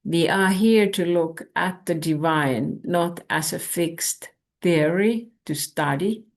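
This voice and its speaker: Woman, Finnish native speaker, spiritual channel host; low, unhurried, contemplative delivery with gravelly texture. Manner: calm, measured, contemplative. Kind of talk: reflective spiritual talk.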